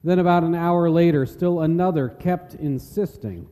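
A man narrating scripture in a measured, solemn way as part of a dramatic reading. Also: fairly high pitch, warm tone. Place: large reverberant church. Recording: long church hall reverb.

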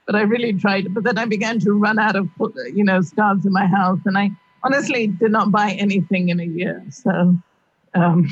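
A middle-aged woman from Kenya, a university teacher, answering interview questions over a video call, thoughtful and halting, with many fillers and frequent laughs. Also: medium pitch, steady tone, no echo, dull audio.

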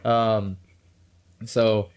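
A man chatting casually on a podcast, animated and laughing often, about doing character voices. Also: very slow, smooth. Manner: animated, jumps into high put-on voices, laughs a lot. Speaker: co-host, voice actor for let's plays.

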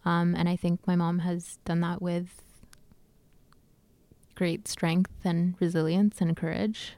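Young woman filmmaker, low and gravelly, hesitant interviewee. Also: flat delivery, takes it slowly, no room sound. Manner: soft-spoken, hesitant with many fillers. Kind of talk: casual interview answers.

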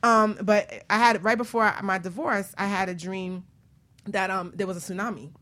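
A woman's voice: mid-range voice, steady tone, moderate pace, fairly bright tone. A woman hosting a talk show in an informal, conversational and joking way. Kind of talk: casual chat.

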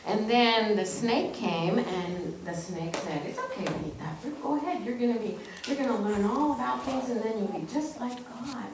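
A woman's voice: a little high, animated, normal speed, hardly any pauses. A woman leading a children's message at church, talking informally in simple teacherly phrases and asking questions. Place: large echoey indoor church. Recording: roomy, distant church recording with faint noise.

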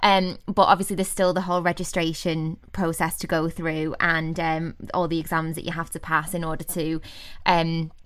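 A woman's voice: low voice, little pitch movement, moderate pace, few pauses. A woman hosting a podcast interview, asking questions with many fillers and friendly backchannel replies. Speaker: podcast host.

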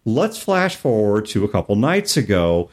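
A man with a low voice that moves up and down a lot as he talks through tabletop gaming. He explains in a casual podcast style, breaking off for rhetorical questions and quick asides. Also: very animated. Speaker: podcast co-host.

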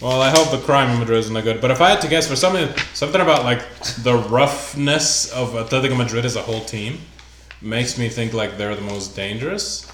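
Bright-voiced casual podcast host. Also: male voice, mid-range voice, a little fast, reverberant, slight background noise. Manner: reads news items aloud with loose asides. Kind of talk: casual sports podcast chat.

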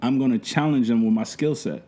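A young man with a deep voice, a host and podcaster telling stories about his work in relaxed, rambling talk full of slang. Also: brisk. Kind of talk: casual podcast conversation.

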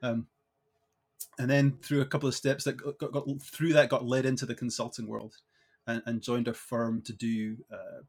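A man's voice: medium pitch, level tone, normal speed, darkish tone. A middle-aged Scottish man speaks as an interview guest. His answers come out thoughtfully, with restarts and filler words as he works through his ideas. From Scotland.

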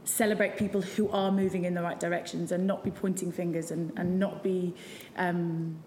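A woman's voice: on the low side, flat delivery, moderate pace, mellow. A woman speaking to a live audience about a campaign, hesitant and full of restarts. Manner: hesitant, many restarts and ums. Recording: roomy hall with long reverb, faint noise.

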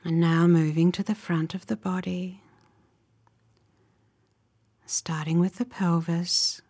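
A woman guides a relaxation meditation with a soothing, gentle manner. Her voice is fairly low and breathy, often close to a whisper. She takes her time, delivering each short instruction slowly and evenly. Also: little pitch movement.

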